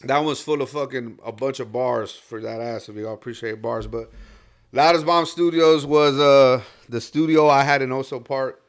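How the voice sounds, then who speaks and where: A Texan man chatting as a podcast guest, his voice sitting at an ordinary middle pitch. He tells long stories at an easy pace, swears often and fills gaps with "like" and "you know what I mean.". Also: normal speed, smooth. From Texas. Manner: laid-back, profane storytelling with lots of fillers. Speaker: guest rapper. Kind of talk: casual podcast chat.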